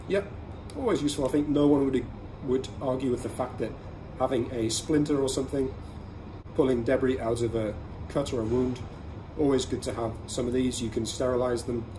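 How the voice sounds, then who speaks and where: A man with a mid-range voice presents a casual product review as a podcast host. He explains things conversationally, in short measured phrases. Clear ambient noise runs behind his voice. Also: medium pitch.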